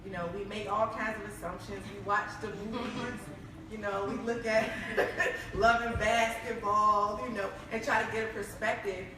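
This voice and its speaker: A woman's voice: medium pitch, little pitch movement, normal speed, background noise. A woman hosting a panel talk show in an upbeat, chatty way with frequent fillers. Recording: echoey room, far from mic.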